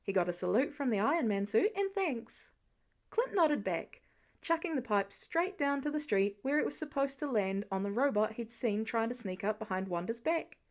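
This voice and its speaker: A woman reading fan fiction aloud as an expressive, wry storyteller. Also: mid-range voice. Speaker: amateur audiobook narrator. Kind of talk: scripted fiction narration.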